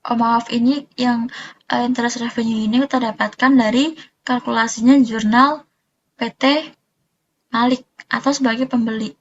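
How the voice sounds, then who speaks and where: A woman's voice: a little high, steady tone, normal speed, long pauses, breathy edge, dull audio. A young woman explaining material step by step in a student presentation, informal and a little hesitant. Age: young adult.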